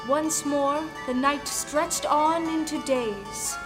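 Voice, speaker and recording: A woman narrating a scripted folktale in an expressive, dramatic way. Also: high-pitched, slow pace. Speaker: storyteller. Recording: music under the voice. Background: music.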